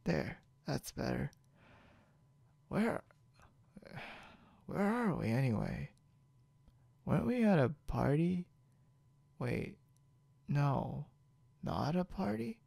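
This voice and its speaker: A man playing a stubborn, boastful, confused drunk young man in an ASMR roleplay, halting and rambling with mumbled, repeated words. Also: fairly high pitch, huge pitch swings, dark tone, no room sound, heavily acted. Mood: sleepy, needy. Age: young adult. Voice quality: gravelly, creaky.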